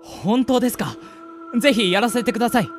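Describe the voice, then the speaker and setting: A man's voice: extremely high pitch, expressive, fairly quick, music in the background. A man voicing a cheerful, capable young office worker who is friendly with his colleagues, in an amateur radio drama. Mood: eager polite. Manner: bright, friendly, eager.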